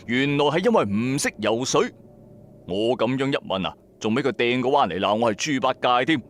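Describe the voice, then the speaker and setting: A man's voice: mid-range voice, lively intonation, moderate pace, slight background noise. A man narrating a horror story in the first person, in a colloquial, suspenseful way.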